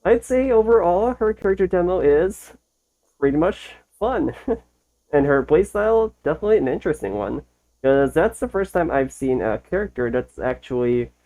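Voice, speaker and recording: A woman's voice: extremely low pitch, warm tone, low-quality recording. A woman hosting a reaction video with casual, amused commentary and hesitant musing. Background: faint music.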